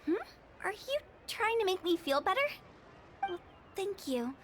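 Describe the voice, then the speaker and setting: A woman's voice: extremely high pitch, very animated, measured pace, background noise, heavily acted. A woman voicing a playful young funeral director with a mischievous, cheerfully morbid way of talking. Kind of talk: game voice-over.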